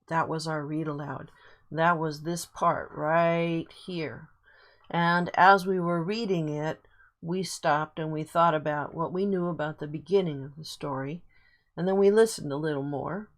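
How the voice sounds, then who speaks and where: A woman's voice: deep voice, unhurried. A woman teacher guiding her class through an online reading lesson in a casual, encouraging way, thinking aloud as she works the screen.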